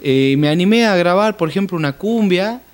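A man, a folk musician chatting relaxed and playful in a casual interview. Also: high-pitched, fairly quick. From Jujuy, Argentina. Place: small room, indoors, seated on couch. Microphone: handheld mic held close.

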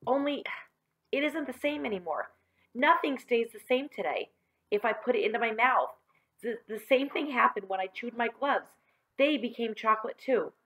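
A woman's voice: a little high, lively intonation, normal speed, fairly bright tone, voice pushed up, rough recording. A woman voicing an earnest, self-pitying schoolboy who protests and pleads in a children's storybook. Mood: pleading. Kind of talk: children's storybook read-aloud.

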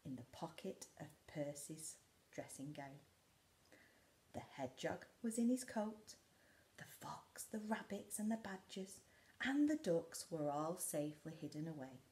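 A woman in her forties reads a picture book aloud to young children in a dramatic way, often dropping to a hush. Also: mid-range voice. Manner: dramatic, often drops to a hushed whisper. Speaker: school storyteller. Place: living room indoors by a window.

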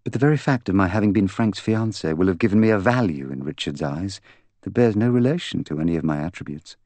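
Audiobook role: proud young woman, bitter and candid, intense delivery, low voice performed by a man. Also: fast talker, a little mellow, no room sound, natural voice. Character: proud, bitter young woman confessing to killing her fiancé. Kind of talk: audiobook character dialogue.

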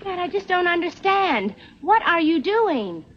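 A woman's voice: extremely high pitch, very animated, measured pace, a bit bright, slight background noise, heavily acted. A young woman playing an earnest, indignant frontier crusader in a TV western. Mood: alarmed, puzzled. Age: young adult. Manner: earnest, insistent. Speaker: actress in a television western. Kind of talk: 1960s TV western acting. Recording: dull vintage TV soundtrack.

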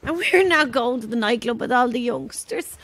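High-pitched, brash older Irishwoman. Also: expressive, moderate pace, a bit bright, slight background noise. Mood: tipsy, bossy. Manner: bossy, jokey. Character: brash, fun-loving older woman, boastful theatre party animal. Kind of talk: audio drama acting.

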